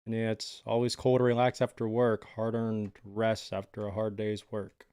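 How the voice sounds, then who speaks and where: A man's voice: lowish voice, completely flat delivery, moderate pace, warm tone, clean tone, dry recording, studio quality. A man casually chatting with his live viewers from home, laid-back and rambling with lots of filler words. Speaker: livestreamer.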